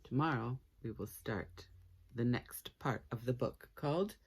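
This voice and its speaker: A woman reading a story aloud for children in an expressive, playful way with dramatic pauses. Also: a bass voice, a little hiss or hum.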